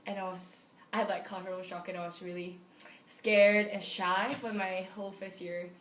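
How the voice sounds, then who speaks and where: A woman's voice: medium pitch, steady tone, normal speed, a little hiss or hum. Female student in her twenties, raised in Singapore, giving a casual testimonial in a mid-range voice. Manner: soft, candid, with likes and ums. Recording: echoey room.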